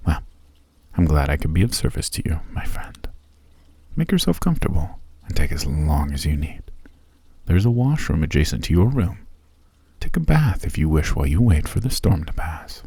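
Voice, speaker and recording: A man voicing a gracious elf who has lived for centuries, speaking in an ASMR roleplay. His voice is very deep, mellow and husky, and it sits dry and close to the mic. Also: big pitch swings, moderate pace, dry recording, full character voice. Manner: gracious, formal and softly spoken. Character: ancient male elf host, courteous and genteel.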